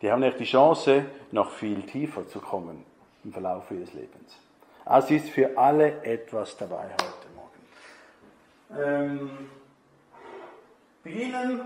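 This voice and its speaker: A man preaching a conversational sermon, putting questions to the congregation. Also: mid-range voice. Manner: chatty, asks the congregation questions. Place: church hall. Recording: echoey church hall.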